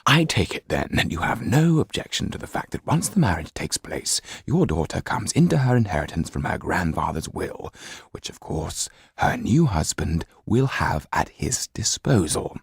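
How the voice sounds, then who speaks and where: Stern male lawyer, acted by an audiobook reader, low pitch, creaky edge, formal, measured, dryly ironic. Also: big pitch swings, no echo, studio quality, full character voice. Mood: dry irony.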